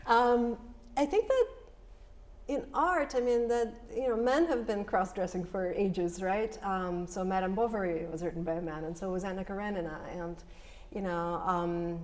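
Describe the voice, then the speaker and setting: A woman's voice: mid-range voice, normal speed, a little mellow. A woman with a Russian accent and a first language of Russian, speaking on a panel as a poet. She sounds nervous and earnest, thinking aloud with many fillers. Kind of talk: panel discussion.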